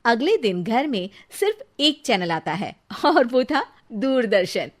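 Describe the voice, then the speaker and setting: A woman's voice: a little high, big pitch swings, measured pace. Woman narrating a cartoon story.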